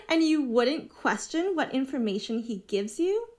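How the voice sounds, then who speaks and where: A manipulative female cult leader in an audio drama. Her voice is high and velvety soft, swooping through patronising, measured phrases like a controlling therapist. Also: full character voice.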